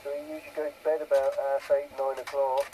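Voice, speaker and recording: A man's voice: medium pitch, monotone, fast talker. A man chatting casually over CB radio, rambling along and repeating his phrases. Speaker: CB radio operator. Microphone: two-way radio microphone. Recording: CB radio transmission with background noise.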